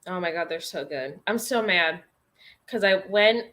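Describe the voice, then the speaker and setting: A woman's voice: on the low side, measured pace, fairly bright tone, slight background noise. Sweary, sassy woman on a casual livestream. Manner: sweary, blunt, playfully sassy. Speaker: reading livestream co-host.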